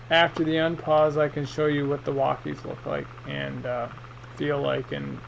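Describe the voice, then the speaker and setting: A man giving a casual, rambling, hesitant product review in an unboxing vlog, speaking off the cuff. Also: a little high. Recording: muffled, with clear background noise.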